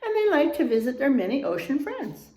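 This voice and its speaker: Woman, teacher read-aloud, higher-pitched voice, expressive storybook delivery, checks in with listeners, echoey room. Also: a little fast. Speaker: teacher reading aloud to children. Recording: roomy, echoing room sound.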